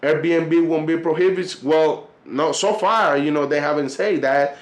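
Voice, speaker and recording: Colombian Spanish-accented male vlogger, slightly high voice, rambling direct-to-camera chat, roomy sound. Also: unhurried. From Cartagena, Colombia. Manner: repetitive, loose, calls viewers fellas. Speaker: vlogger and tour guide. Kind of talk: casual monologue to camera.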